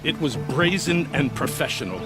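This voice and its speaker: A man's voice: fairly high pitch, big pitch swings, normal speed. A man playing a proud, wealthy nobleman in a video game, with a florid, theatrical and formal way of talking. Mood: indignant, boastful. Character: proud, wealthy nobleman, grandiose yet anxious for family. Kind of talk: video game drama acting. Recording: music behind the voice.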